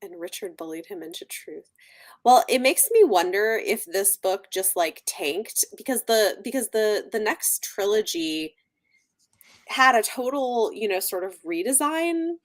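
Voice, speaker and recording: A woman with a medium-pitched voice chats informally about books. Her manner is speculative, working through ideas aloud as a co-host would. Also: lively intonation, a little slow. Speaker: book discussion co-host. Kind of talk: casual book-club chat.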